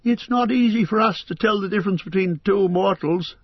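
Teasing elf minstrel, raised pitch, read by a man; husky, dull audio. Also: a little fast, dry recording. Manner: teasing, laughing banter.

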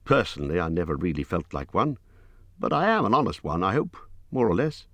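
A man voicing a small, fussy male hobbit who talks in a squeaky, hurried, apologetic way. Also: very animated, a bit bright, no echo, full character voice. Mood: flustered.

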